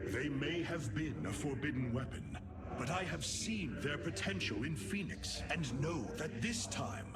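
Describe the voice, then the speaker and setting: An actor playing a resolute, righteous alien warrior leader in a video game, speaking in solemn, stately oratory. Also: male voice, a little high, very noisy. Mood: solemn rallying. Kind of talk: dramatic video game cutscene acting. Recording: loud music under the voice.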